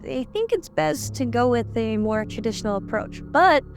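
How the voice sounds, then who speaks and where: A woman's voice: a little high, lively intonation, normal speed, creaky, over music. A woman in an audio drama playing an anxious, deferential talent manager who speaks hesitantly. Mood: placating. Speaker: voice actor in fan audio drama.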